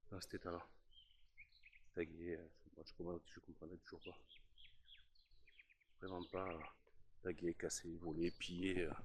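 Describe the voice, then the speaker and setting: A man with a slight cold and a nasal voice, exploring an abandoned château as a paranormal investigator. He is nervous, hesitant and full of fillers, and often drops to a soft voice as he calls out to spirits. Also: low voice, flat delivery, moderate pace, long pauses, slight background noise. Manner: hesitant, many fillers, often speaks softly; nervous, says he is trembling. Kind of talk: walk-through vlog narration and calling out to spirits.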